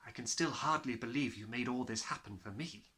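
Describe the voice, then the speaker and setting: A man voicing a gentle, kindly woman schoolteacher in a storybook, quiet and softly encouraging. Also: medium pitch, fairly quick, close to an everyday voice. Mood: gentle, interested.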